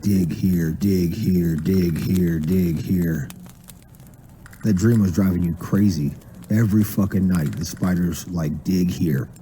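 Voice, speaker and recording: A man's voice: deep voice, slow, mellow, a little hiss or hum. A man doing spoken-word storytelling in a slangy, profane, casual manner.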